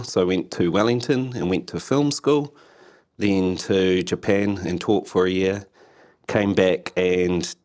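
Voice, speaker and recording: A man's voice: low-pitched, darkish tone. A New Zealand man from Timaru, speaking as a guest in a friendly, earnest interview chat. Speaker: general manager, guest.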